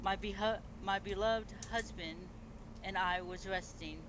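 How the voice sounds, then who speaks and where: A man playing a solemn beastman empress in online game role-play, making a formal, halting speech. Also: sits high, steady tone. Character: Empress Dragon, solemn ruler of fantasy beastmen. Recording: noisy amateur game voice chat.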